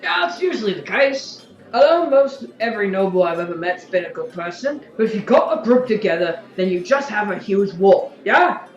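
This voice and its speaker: A woman's voice: mid-range voice, sing-song, moderate pace, hardly any pauses, natural voice. A woman playing an opinionated, restless agitator who talks conversationally and tags remarks with questions, in an audio drama. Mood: persuasive. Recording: echoey room, dull lo-fi sound.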